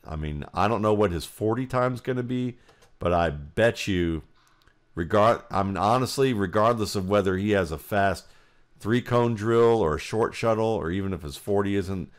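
A man's voice: fairly low pitch, unhurried. An American man giving football film breakdown commentary, explaining each detail as he thinks aloud.

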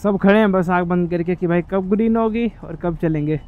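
A man's voice: high-pitched, fairly quick, narrow, tinny audio. A man, chatting casually and informally to viewers as a motovlogger, often grumbling like he would to buddies. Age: 20s. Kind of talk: casual chat to camera. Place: on a motorbike in city streets, later inside a small helmet shop. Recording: noisy street and traffic sound. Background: traffic and vehicle noise.

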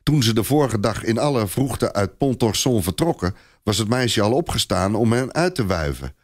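A man's voice: lowish voice, sing-song, normal speed. A man narrating a scripted story in a dramatic storytelling style.

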